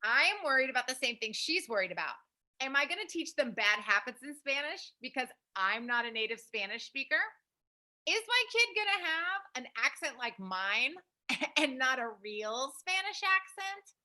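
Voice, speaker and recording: A woman's voice: high-pitched, big pitch swings, normal speed, bright, forceful tone. A young American woman co-hosting a casual advice chat, speaking emphatically and repeating her points. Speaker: host, language program founder.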